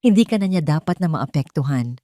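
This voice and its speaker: A woman's voice: deep voice, expressive, brisk, dark tone, no room sound. Woman narrator as devoted male suitor: reassuring, patient, concerned; romance audio story, dry sound.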